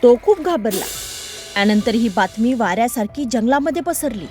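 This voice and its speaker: A woman narrates a children's story from a script. Her voice is high-pitched, in a storyteller's delivery. Light music plays behind her. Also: animated, normal speed.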